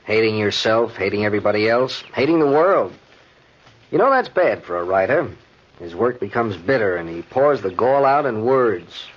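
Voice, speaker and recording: Confident outdoorsy pal in vintage radio drama, hearty, swinging intonation, husky, dull old recording. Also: male voice, lowish voice, expressive, normal speed. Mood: gloomy, brooding. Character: confident, jovial brother-in-law and hunting companion, a writer. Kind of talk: 1940s radio drama acting.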